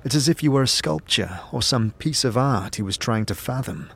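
A man's voice: mid-range voice, animated, moderate pace, vocal fry, breathy edge. A man narrating a scripted fantasy story in the second person, setting the scene as a storyteller.

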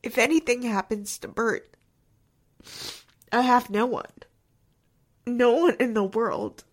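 High, tearful, despairing wife played by an actress. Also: female voice, takes it slowly. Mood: despairing, loving. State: tearful, breaking voice. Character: despairing devoted wife, tearful, fragile, loving. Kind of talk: 1940s radio drama acting.

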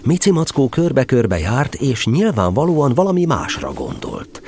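A man's voice: medium pitch, big pitch swings, darkish tone, slight background noise. A man narrating a storybook audio drama, telling the tale expressively.

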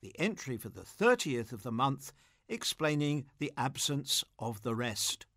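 Man, literary presenter, scripted narration, highly varied intonation, dry close recording. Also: very animated, unhurried, a bit bright, dry recording.

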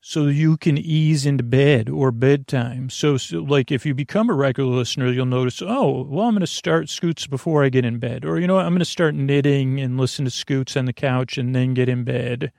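Man, podcast host, gravelly fry, dry close sound, meandering bedtime monologue, stammered restarts, self-interrupting. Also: medium pitch, moderate pace, few pauses, a little mellow, no room sound.

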